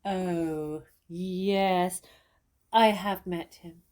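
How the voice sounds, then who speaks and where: An actress playing a famous, worldly stage star who speaks in a measured, composed and condescending way. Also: female voice, fairly low pitch, steady tone, darkish tone. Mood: cool, composed. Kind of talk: stage-style dramatic dialogue.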